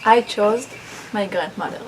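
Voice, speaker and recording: Woman from Israel, halting speech in a roomy space. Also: mid-range voice, background noise. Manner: halting, with restarts and non-native grammar slips. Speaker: public speaking student. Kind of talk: rehearsing a personal speech. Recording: roomy, distant mic, background ambience.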